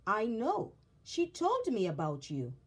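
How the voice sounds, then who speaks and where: Woman playing a large fatherly woodcarver man, kindly, reassuring, deliberate slow speech, expressive pitch, children's storybook. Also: breathy edge, exaggerated voice. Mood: tender, earnest.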